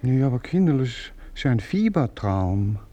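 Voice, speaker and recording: An actor playing a novelist husband in a radio play, fussy and animated, then soothing his wife. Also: medium pitch, moderate pace, lightly acted. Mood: fretful.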